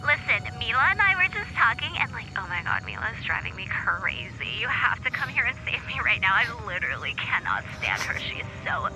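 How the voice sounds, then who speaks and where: A woman's voice: fairly high pitch, big pitch swings, brisk, few pauses, bright, forceful tone, full character voice, rough recording. An actress playing a self-absorbed young socialite woman, gushing and dramatic in an audio drama. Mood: exasperated, gossipy. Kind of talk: audio-drama acting, gossipy phone chat.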